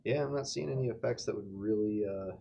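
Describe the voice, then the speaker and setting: A man's voice: lowish voice, fairly quick, vocal fry, lo-fi sound, a little hiss or hum. A man chatting casually as a player in a tabletop game.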